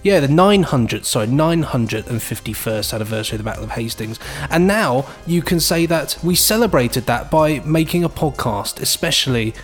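Music plays softly behind a British man of medium pitch. He chats as a podcast co-host in a jokey, whimsical way, rambling along in loose, casual banter. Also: lively intonation, moderate pace, few pauses. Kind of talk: casual comic chat.

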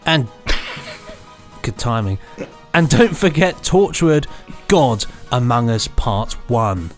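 A man's voice: medium pitch, very animated, slow, faint music behind. A man co-hosting a podcast, reading reviews and chatting casually with a jokey manner. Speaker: podcast co-host.

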